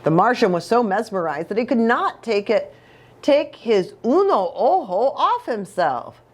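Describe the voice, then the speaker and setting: A woman reading a storybook aloud to children in an expressive way, as a pastor who engages her listeners. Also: fairly high pitch, unhurried. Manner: expressive, asks the listeners questions.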